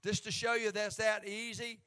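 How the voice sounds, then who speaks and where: A man's voice: high voice, little pitch movement, moderate pace, sharp tone, clear, resonant tone. A man with an Appalachian accent preaching a sermon in a folksy, joking way that rises to fervent emphasis when he reads scripture.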